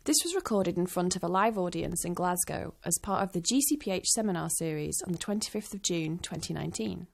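Woman doing the podcast intro, lots of vocal fry, even and scripted. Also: on the low side, background noise. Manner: measured, formal reading. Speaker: podcast host.

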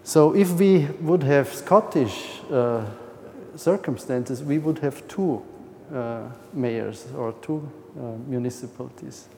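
A man's voice: mid-range voice, slow pace, vocal fry, warm tone, big-room sound, slight background noise. A man from Austria, speaking English with a heavy Austrian German accent, gives a nervous, hesitant conference presentation with many fillers and restarts.

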